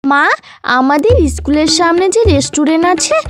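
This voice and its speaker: An eager cartoon bird child, voiced by a woman, begging insistently. The voice is very high and swoops up and down. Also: animated, moderate pace. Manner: pleading, insistent. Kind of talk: animated cartoon voice acting.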